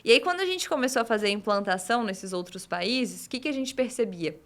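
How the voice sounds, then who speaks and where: Explanatory Brazilian woman, fairly high voice. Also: expressive. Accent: Brazilian Portuguese. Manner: explanatory, measured, with frequent "né?". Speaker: legal tech guest. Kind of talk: podcast interview answers.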